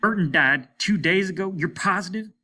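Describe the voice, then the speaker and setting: A man's voice: sits high, normal speed, bright, forceful tone, voice pushed up, exaggerated voice. A man voicing a desperate, haggard spaceship captain in a story reading, insistent and demanding. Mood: frantic. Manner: insistent, demanding, repeats himself urgently. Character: desperate, haggard, obsessive male spaceship captain, gun-wielding bully.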